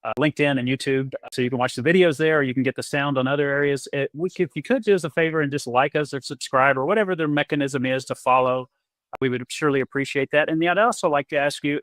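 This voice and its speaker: A man's voice: medium pitch, a bit bright, no room sound. A male podcast host in a mid range talks loosely, often restarting his sentences. The recording is dry and close. He keeps an easy, conversational pace. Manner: rambling, friendly, many restarts. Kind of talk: casual podcast hosting. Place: Texas.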